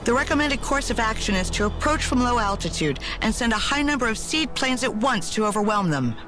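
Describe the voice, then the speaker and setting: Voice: mid-range voice, lively intonation, brisk, lightly acted. A performer playing a dutiful military field officer making formal reports to a general in a strategy game. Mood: informative. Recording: loud music over the voice.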